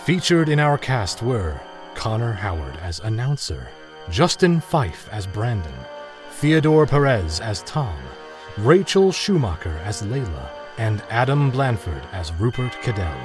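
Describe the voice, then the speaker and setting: Low-voiced announcer over music. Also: male voice, unhurried. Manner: formal, even reading. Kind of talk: closing credits announcement.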